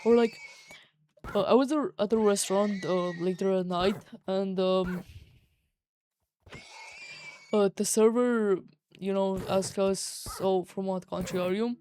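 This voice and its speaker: Female high schooler, Romanian accent, mellow tone, exasperated slangy vlog rant, music underneath. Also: fairly even intonation, a little slow, long pauses, slight background noise. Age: teenager, high schooler. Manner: exasperated, slangy, emphatic with swearing. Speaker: vlogger. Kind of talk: casual personal rant.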